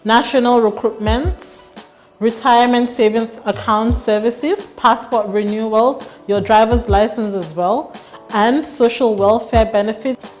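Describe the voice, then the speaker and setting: A woman's voice: on the high side, steady tone, unhurried, a little mellow. A woman presenting a scripted explainer. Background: music.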